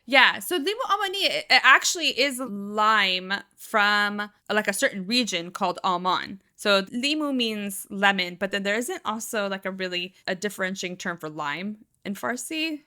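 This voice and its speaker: Bright-voiced chatty woman co-host. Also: medium pitch, expressive, moderate pace, few pauses. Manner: chatty, many "like" fillers, laughs easily. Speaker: podcast co-host. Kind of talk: casual chat.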